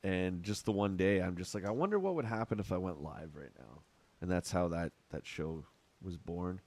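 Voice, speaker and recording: A man's voice: low voice, lively intonation, normal speed, darkish tone. A middle-aged man with a Canadian accent, chatting casually with his chat while playing a game on a live stream at home. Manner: rambling, chatty, answers chat.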